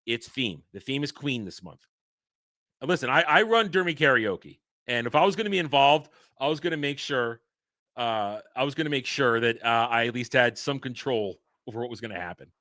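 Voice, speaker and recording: Excitable rambling male streamer. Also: mid-range voice, a little fast, dry recording, studio quality. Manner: rambling, excitable, restarts often. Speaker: livestream host. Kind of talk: casual livestream chat.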